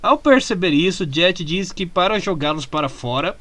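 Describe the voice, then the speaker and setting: A man's voice: high voice, lively intonation, moderate pace, fairly bright tone, dry recording. A Brazilian man with a Brazilian Portuguese accent narrating a comic story in an informal storytelling style. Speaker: narrator of a fan comic dub. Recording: music behind the voice. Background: background music.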